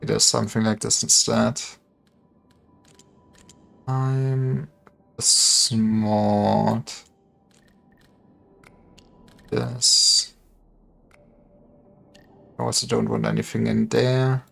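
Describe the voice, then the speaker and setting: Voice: mid-range voice, flat delivery, very slow, vocal fry, pauses often, fairly bright tone, soft background music. A game streamer chatting casually on a livestream, thinking aloud and breaking off mid-thought. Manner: thinking aloud, self-interrupting.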